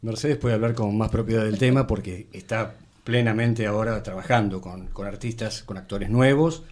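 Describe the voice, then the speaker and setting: An Argentine man with a thick, full voice, an actor reminiscing conversationally as an interview guest in a measured, courteous way. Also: fairly low pitch, a little mellow.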